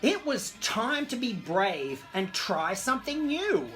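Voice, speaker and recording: A woman's voice: a little high, sing-song, takes it slowly, a bit bright. A woman hosting children's story time, reading a picture book to camera in a theatrical, camp, exclamatory way. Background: disco music.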